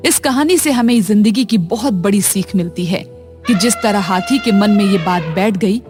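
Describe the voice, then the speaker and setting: A woman narrating short moral stories for children in a calm, even, scripted storytelling manner. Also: medium pitch, normal speed, music in the background.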